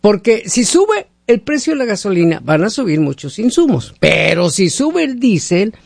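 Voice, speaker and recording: High-pitched, creaky radio host. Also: male voice, very animated, moderate pace, dry recording, studio quality. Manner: folksy, talkative, rhetorical questions. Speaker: radio call-in show host. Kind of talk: casual opinionated on-air chat with callers.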